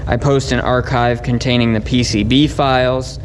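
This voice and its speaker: A man with a mid-pitched, fairly flat voice talks through hands-on work in a casual way. He sounds informal and thinks aloud as he explains. Faint noise sits behind the voice. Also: normal speed. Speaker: host of a DIY electronics channel. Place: indoor electronics workbench. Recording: faint steady background noise.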